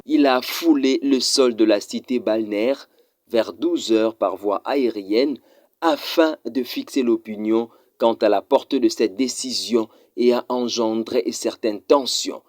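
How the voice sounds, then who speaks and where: A man reading a scripted news report in a formal, measured voice-over. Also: medium pitch, darkish tone, no echo, studio quality. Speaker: news narrator.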